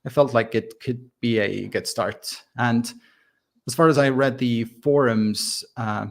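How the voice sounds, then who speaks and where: Gravelly-voiced man with a soft Estonian accent. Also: mid-range voice, steady tone, unhurried. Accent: Estonian, softened by living abroad. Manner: thoughtful, hesitant, many fillers and restarts. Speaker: podcast guest, software founder. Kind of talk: casual interview talk.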